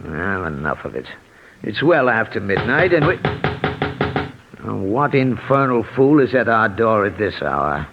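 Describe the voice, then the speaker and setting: An actor in a 1940s radio drama playing an embittered, irascible rich recluse, muttering and theatrical. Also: male voice, lowish voice, very animated, a little slow. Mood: grumbling, scornful. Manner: crotchety, theatrical muttering and outbursts. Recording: muffled, noisy 1940s radio recording.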